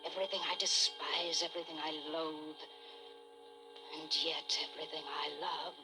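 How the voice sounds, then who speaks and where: An actress playing a wealthy, desperate and haughty wife in an old radio suspense drama, speaking with dramatic intensity. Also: female voice, low voice, steady tone, normal speed, natural voice, poor audio. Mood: cold, trancelike. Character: desperate, haughty wealthy wife narrating her own crime. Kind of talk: 1940s radio suspense acting, first-person narration. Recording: vintage radio recording with dramatic music behind.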